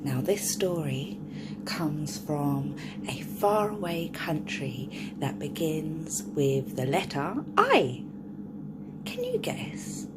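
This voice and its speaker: A woman's voice: deep voice, big pitch swings, takes it slowly, very noisy. A woman reading a picture book aloud to young children in a sing-song storytime voice, often stopping to ask the listener questions.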